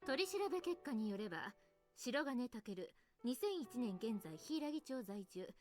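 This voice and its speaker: An actress voicing a sly, imperious female teacher and researcher in a Japanese visual novel game, with a brisk, probing manner. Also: a little high, sing-song. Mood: cool, commanding. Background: game music.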